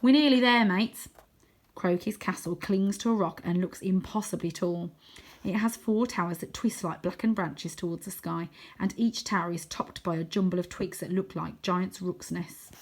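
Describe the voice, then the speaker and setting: A woman's voice: fairly low pitch, fairly even intonation, moderate pace, darkish tone. Woman reading a children's story expressively. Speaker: story reader.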